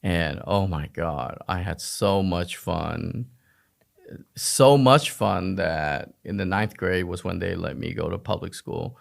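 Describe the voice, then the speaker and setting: A man's voice: low voice, takes it slowly, gravelly. A man telling personal stories casually and informally, with self-deprecating rhetorical questions. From United States, raised in Oklahoma and elsewhere, Vietnamese family. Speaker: podcast guest, physician. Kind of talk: casual personal storytelling in a conversation.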